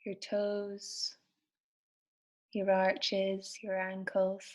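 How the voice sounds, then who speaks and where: Woman gently guiding a meditation. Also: mid-range voice, completely flat delivery. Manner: soothing, gentle, unhurried instruction with pauses. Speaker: meditation guide. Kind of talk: guided meditation.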